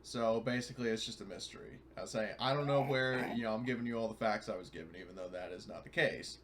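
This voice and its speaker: A man's voice: lowish voice, quick pace, noisy background. A man chatting casually as a player in a tabletop roleplaying game.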